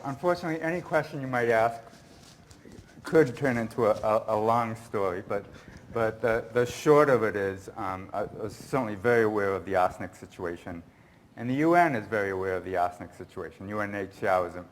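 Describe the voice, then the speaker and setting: Man, low voice, conference Q&A answer, earnest, rambling, self-correcting. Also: brisk, a little hiss or hum. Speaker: conference speaker.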